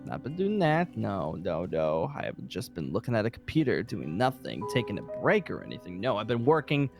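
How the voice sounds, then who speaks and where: A man playing a weary, irritable survivor leader in an improvised zombie game roleplay, talking in a halting, rambling, emphatic way. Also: on the low side, huge pitch swings, gravelly, over music, full character voice. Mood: secretive, weary. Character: weary, irritable safe-haven leader secretly seeking a zombie cure.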